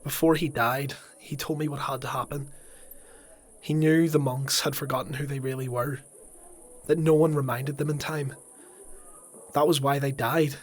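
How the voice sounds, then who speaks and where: An actor playing a distraught, guilt-ridden young male zealot in a dark fantasy audio drama, stammering and impassioned. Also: mid-range voice, steady tone, moderate pace, pauses often, close to an everyday voice. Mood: pleading, confiding.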